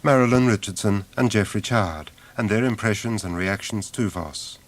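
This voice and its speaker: A man's voice: fairly low pitch, moderate pace. A male radio interviewer who hosts with brief prompts and murmured agreement.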